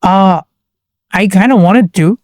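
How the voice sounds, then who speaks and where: Young guy, highish voice, laid back slangy chat, dry close sound. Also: slow pace, no room sound. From Bangladesh. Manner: relaxed slangy talk full of fillers and restarts. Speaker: guest. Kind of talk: casual chat.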